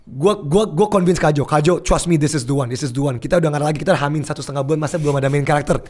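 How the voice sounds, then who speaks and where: A young man chatting casually as a podcast guest, telling an animated story and mixing English phrases into his speech. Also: on the high side, very fast. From Indonesia.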